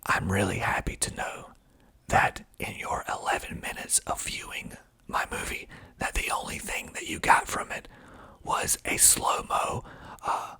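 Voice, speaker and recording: A man whispering breathily in an ASMR style as he reads aloud, adding wry, understated asides. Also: pushed and bright. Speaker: filmmaker and actor reading reviews of own film.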